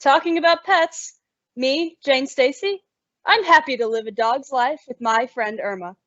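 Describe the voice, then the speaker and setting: A woman's voice: high voice, a little slow, a bit bright. A woman playing a sensible, often exasperated young roommate in an old-time radio comedy, with emphatic theatrical delivery. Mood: wry. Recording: dull lo-fi audio.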